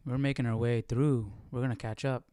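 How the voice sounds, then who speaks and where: A man's voice: mid-range voice, flat delivery, a little fast. A man hosting a livestream, giving casual commentary and musing aloud in an informal way. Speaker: livestream host. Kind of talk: casual Bible study commentary.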